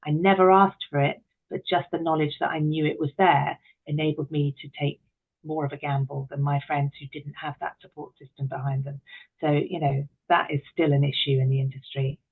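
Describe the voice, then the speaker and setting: A woman's voice: sits low, level tone, a little fast, creaky, breathy edge. A middle-aged woman speaking as an interview guest, reflective, articulate and emphatic, on muffled call audio.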